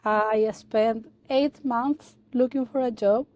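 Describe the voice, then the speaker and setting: Woman from Chile, halting and soft. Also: on the high side, steady tone, highs cut off. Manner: halting, many fillers and restarts. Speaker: guest, data analyst. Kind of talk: casual interview talk.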